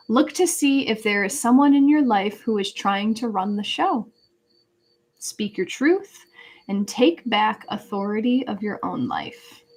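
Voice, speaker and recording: A woman hosting a live spiritual card reading, speaking conversationally and earnestly as she guides listeners and reads out messages. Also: a little high. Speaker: live tarot reader and host. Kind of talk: casual livestream chat with card reading.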